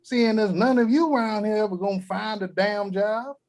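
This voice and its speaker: An actor playing a crude, cranky old grandfather at a table read, talking gruff and vulgar. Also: high-pitched, steady tone, moderate pace, a little mellow.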